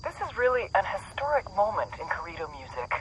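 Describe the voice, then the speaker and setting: A woman's voice: medium pitch, very animated, fast talker, highs cut off, a little hiss or hum, natural voice. A woman playing a gleeful, foul-mouthed intelligence agent, wry and swaggering, in a video game. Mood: smug.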